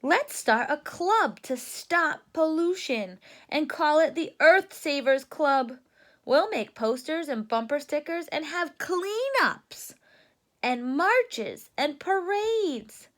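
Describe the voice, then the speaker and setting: A woman's voice: high voice, lively intonation, takes it slowly, fairly bright tone, voice pushed up, heavily acted. A woman voicing an earnest, eager young boy bear cub from a storybook, speaking emphatically. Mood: excited.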